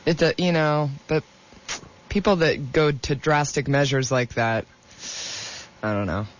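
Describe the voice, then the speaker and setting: A man co-hosting a radio show who reads station announcements and banters in an easygoing, agreeable way. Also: fairly high pitch. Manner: easygoing, agreeable, quick to laugh. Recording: dull lo-fi radio broadcast, faint music.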